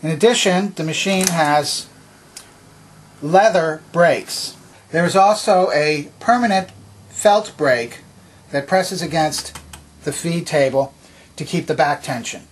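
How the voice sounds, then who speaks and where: A man presenting a hands-on demonstration in a methodical, explanatory way, with a slightly husky voice. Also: on the high side, takes it slowly, long pauses, slight background noise. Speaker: presenter demonstrating vintage tape recorder. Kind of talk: instructional demonstration narration. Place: indoor home workbench.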